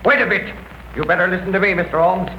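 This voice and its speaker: A man playing a rough, menacing henchman with uneducated street talk, sharp and forceful, in an echoey, scratchy 1930s radio drama. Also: high-pitched, steady tone, fast talker, highs cut off. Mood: threatening.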